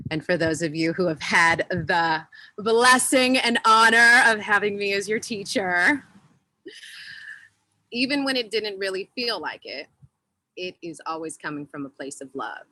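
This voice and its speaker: A woman with a low pitch and a bright, forceful tone answers at an unhurried pace. She speaks in a heartfelt, emphatic way, stressing her words. The sound is close and dry. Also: no echo, low-quality recording. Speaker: panelist, former teacher, medical student. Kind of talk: unscripted panel answer.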